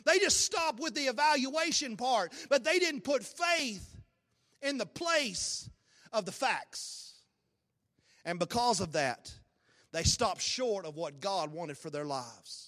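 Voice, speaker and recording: Raspy preacher, high voice, hall echo. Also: male voice, animated, slow pace. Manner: swings between low talk and shouted, sung-out peaks. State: hoarse. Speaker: pastor. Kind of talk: sermon. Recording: long hall reverb.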